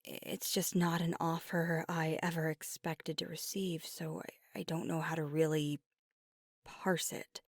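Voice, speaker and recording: A woman's voice: low-pitched, level tone, a little slow, dry recording, clean studio sound. A woman voicing a devoted, earnest werewolf girlfriend in an intimate ASMR roleplay, speaking sincerely and a little hesitantly. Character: devoted, earnest young werewolf girlfriend weighing big news.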